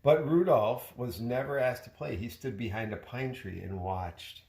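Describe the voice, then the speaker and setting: A man's voice: medium pitch, creaky. A man reading a children's story aloud expressively, like a father sharing it with his child. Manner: expressive, pausing to chat with the child. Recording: roomy, echoey home recording.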